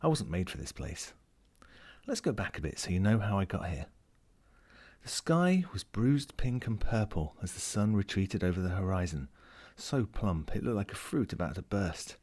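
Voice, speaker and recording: A man's voice: sits low, very animated, moderate pace, darkish tone, heavily acted. A man voicing a lonely, wry lost ring as he reads a first-person short story in a dramatic, melancholic way. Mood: gloomy, resigned, then wistful. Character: lonely, world-weary, wry sentient ring lost at sea.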